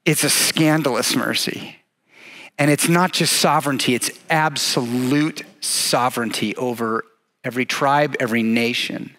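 A man in his forties, a pastor preaching a sermon on a church stage to his congregation, animated and energetic, acting out the quotes he tells. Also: mid-range voice, a little slow.

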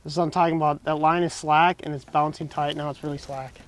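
A young man giving excited, casual running commentary while fishing from a dock on a lake. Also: on the high side, fast talker. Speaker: fishing vlogger. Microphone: action camera mic, sometimes head-mounted.

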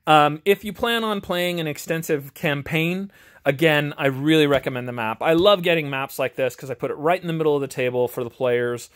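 A man's voice: fairly high pitch, a little fast. A man chatting casually and unscripted as an amateur reviewer, in an informal, hesitant, self-deprecating way. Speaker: amateur video reviewer and tabletop game master. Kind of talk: casual, unscripted chat while reviewing a game book. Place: home garage.

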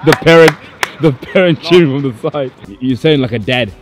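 A man's voice: mid-range voice, brisk. A man bantering casually from the sideline, teasing and repeating his phrases. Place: outdoor sports pitch at night.

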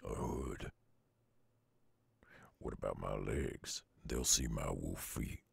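Gravelly, extremely deep Southern werewolf. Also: male voice, no echo, heavily acted. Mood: wary, grudging. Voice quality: very gravelly, husky. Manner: slow, drawling, murmured. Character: brooding gruff male Southern werewolf, weary loner. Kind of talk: ASMR audio roleplay.

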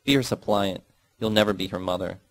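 A man's voice: on the low side, fairly quick, no echo, natural voice. A man voicing a fanatical old mother who shrieks religious curses and accusations at her daughter in an audiobook story. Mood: raging.

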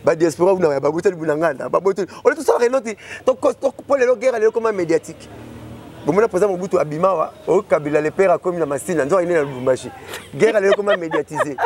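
Brief: Congolese guest, 30s, quick-fire delivery, lapel mic outdoors. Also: male voice, high-pitched, lively intonation, a little mellow. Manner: gestures constantly, rapid and emphatic. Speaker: interview guest. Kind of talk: animated chat mixing French and Lingala. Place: outdoors beside a parked car.

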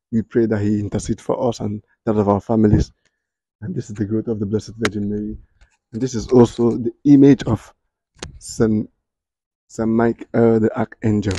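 A man's voice: on the low side, dark tone, no echo, rough recording. A young Nigerian man with a Nigerian accent hosting an upbeat walking tour, speaking straight to viewers outdoors. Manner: upbeat, addresses viewers directly. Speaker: real estate video host. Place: outdoors in a church compound.

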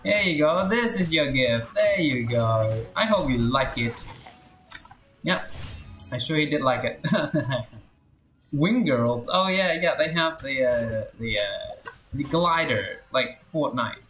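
A man's voice: medium pitch, lively intonation, slow pace, a bit bright. A man streaming a video game with casual, playful commentary, muttering to himself and his chat in short exclamations. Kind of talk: casual live gameplay commentary. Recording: roomy echo with game music behind. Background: video game music.

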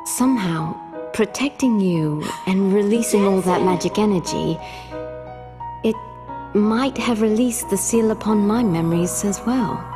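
An actress playing a solemn, dignified, ageless woman in a game cutscene, speaking in a measured, formal, reflective way. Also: medium pitch, over music, close to an everyday voice. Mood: calm, wondering. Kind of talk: video game cutscene acting.

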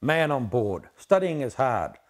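A man's voice: mid-range voice, sing-song, measured pace. An Irish man in his fifties giving a dramatic reading from a book. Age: 50s. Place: indoor lounge on sofa.

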